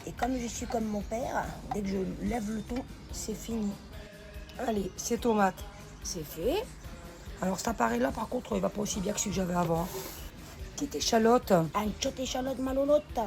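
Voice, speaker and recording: A hoarse woman chatting familiarly as she cooks, calling the viewer pet names. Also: mid-range voice, moderate pace. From northern France. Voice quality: gravelly. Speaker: home cook. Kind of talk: casual cooking vlog chat. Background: background music.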